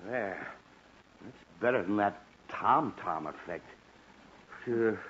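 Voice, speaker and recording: Grumpy fed-up 1940s radio dad, swoopy voice, big theatrical complaining, muffled old recording. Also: male voice, fairly low pitch, lively intonation, slow pace, fairly bright tone, a little hiss or hum. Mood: weary. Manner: blustering, theatrical sighing complaints. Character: exasperated, grumbling middle-aged father trying to sleep. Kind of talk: 1940s radio comedy acting.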